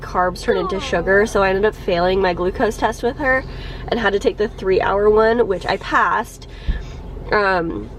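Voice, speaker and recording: A young woman talks to her camera from a parked car, her voice stuffed up from a cold. She sits at a middling pitch and rambles in a friendly way, full of ums and likes and small laughs. Faint traffic hum sits behind her. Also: rough recording. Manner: chatty, many ums and likes, laughs a little. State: congested from a cold. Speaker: vlogger. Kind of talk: casual chat to camera.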